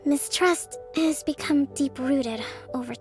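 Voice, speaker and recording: A young priestess leader speaks in a high, gentle voice. She is courteous and composed, a dutiful game character, with faint music underneath. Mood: solemn, reflective. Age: young adult. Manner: polite, measured, composed. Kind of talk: video game voice acting.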